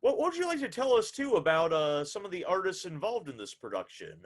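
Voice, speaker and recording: Animated male radio host, higher-pitched voice. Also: fast. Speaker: host and announcer of a radio drama hour. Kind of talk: radio host introduction and interview.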